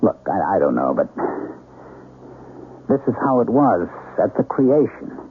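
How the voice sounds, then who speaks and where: On a narrow, faintly noisy radio recording, an anxious husband and father speaks. He is earnest and hesitant, groping for words in a very animated male voice. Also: lowish voice, measured pace. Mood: troubled, brooding. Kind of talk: radio drama acting. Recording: tinny, hissy old radio broadcast.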